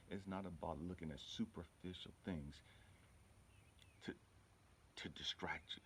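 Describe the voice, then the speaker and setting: Very deep-voiced man giving spiritual talk. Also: slight background noise. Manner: emphatic, repetitive, colloquial, often checks in with the listener. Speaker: spiritual healer and channel host. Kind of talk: informal motivational spiritual talk.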